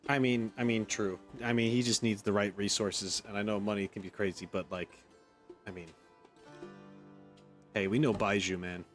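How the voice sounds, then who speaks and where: A man's voice: on the low side, fairly even intonation, normal speed, pauses often. A man giving casual, informal gameplay commentary as a streamer, reacting aloud to the story. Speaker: game streamer. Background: game music.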